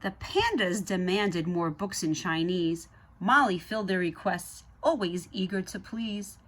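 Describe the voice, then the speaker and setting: A woman's voice: mid-range voice, a bit bright, rough recording. A woman reading a children's picture book aloud, speaking directly to young listeners with little asides as she goes. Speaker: guest storybook reader.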